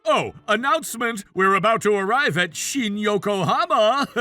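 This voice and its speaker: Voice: mid-range voice, animated, moderate pace, bright, forceful tone, dry recording, faint music behind. A performer voicing a wronged husband in a story, cold, contemptuous and mockingly threatening. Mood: smug gloating.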